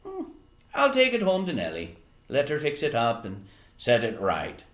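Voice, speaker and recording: Storybook old fisherman , played by a man: attempted Eastern Maine accent, kindly, folksy, pitched up, echoey room. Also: wildly swooping pitch, normal speed. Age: older. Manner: folksy, musing, colloquial. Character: grizzled old fisherman, kindly, plain-spoken, from a children's storybook.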